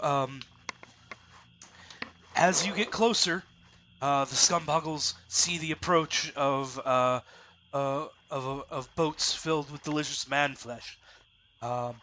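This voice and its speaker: A man narrating as dungeon master of a tabletop game, halting with many fillers, congested with a lingering cough. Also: fairly high pitch, pushed and bright, a little hiss or hum. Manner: halting, many ums and uhs.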